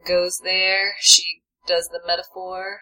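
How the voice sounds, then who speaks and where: A woman with a bright, crisp voice, chatting wryly and easily as she recaps a show. She giggles often and lets her sentences trail off, then picks them back up. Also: fairly low pitch, level tone, slow pace, low-quality recording. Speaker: podcast co-host. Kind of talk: casual recap chat.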